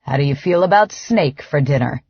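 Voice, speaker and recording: A woman's voice: low-pitched, lively intonation, moderate pace, husky, no echo, lo-fi sound, voice pushed down. A woman narrator voicing a rugged, confident one-eyed man, laconic and reassuring. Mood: proud, teasing.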